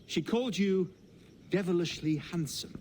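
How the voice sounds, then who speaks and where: A man playing a suave, teasing English gentleman adventurer in a game, with a polished, sardonic English accent. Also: sits high, a little slow, slight background noise. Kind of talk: dramatic game acting.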